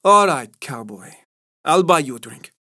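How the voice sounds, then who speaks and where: Sassy bar flirt, slight Eastern European accent ; man plays her, high and forward. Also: lively intonation, measured pace, sharp tone, no echo, clean studio sound, exaggerated voice. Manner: playful, sassy. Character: flirty bold woman at a bar.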